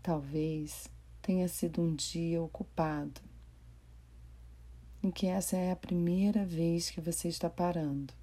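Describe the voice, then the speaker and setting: Slow, low-pitched Brazilian woman guiding meditation. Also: fairly even intonation. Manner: gentle, unhurried, with long pauses. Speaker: meditation guide. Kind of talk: guided body scan meditation.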